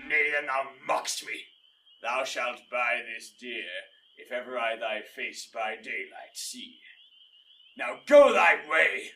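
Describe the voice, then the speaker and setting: Hot-headed young suitor, male actor, very sharp, forceful tone with gravelly edges, declamatory Shakespeare. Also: medium pitch, lively intonation, slow, a little hiss or hum, heavily acted. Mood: weary threatening. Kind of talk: Shakespearean audio drama. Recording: slightly distant from the mic.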